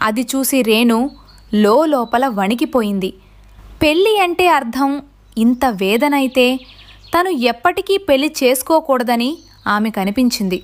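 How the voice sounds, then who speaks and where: A woman's voice: high voice, animated, unhurried. A woman giving scripted narration as the storyteller of a tale.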